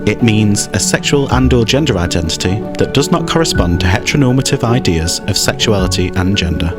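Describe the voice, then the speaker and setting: A man's voice: lowish voice, expressive, a little fast, loud music behind the voice. A man narrating a scripted explainer, with chatty, wry asides to the listener.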